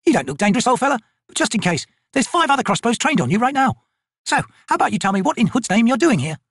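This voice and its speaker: A narrator playing a gruff, sharp-tongued woman corporal in an audiobook, suspicious and drawling. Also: mid-range voice, big pitch swings, fast talker, no echo, clean studio sound, voice pushed up. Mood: wry menace. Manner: drawling, snapping, sneering.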